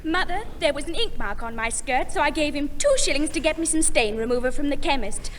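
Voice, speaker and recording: Woman playing snappy big sister, high sharp voice, old british radio comedy, faint hiss. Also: brisk, natural voice. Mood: furious. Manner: snappish, indignant. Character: furious indignant older sister, young woman. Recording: hissy old radio recording.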